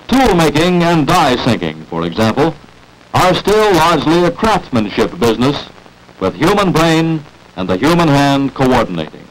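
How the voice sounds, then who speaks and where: An old 1940s film soundtrack carries an American man narrating an industrial promotional film. His voice sits a little high and moves with lively rises and falls. He phrases his script deliberately and emphatically, like a salesman making his case. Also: rough recording.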